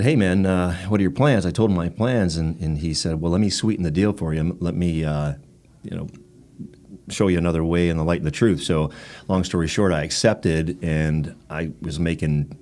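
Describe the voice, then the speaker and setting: A middle-aged man with a notably low-pitched voice talks at length in a conversational way. He tells things plainly and matter-of-factly, as a guest on a podcast would. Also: moderate pace, a little mellow. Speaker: podcast guest, former military physician assistant. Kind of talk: conversational storytelling.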